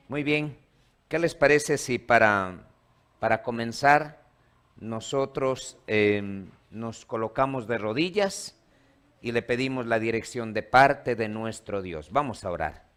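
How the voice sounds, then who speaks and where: A man's voice: medium pitch, a bit bright, clear, resonant tone. A man from Ecuador, a pastor preaching a sermon in a church and quizzing the congregation in call-and-response. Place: church hall.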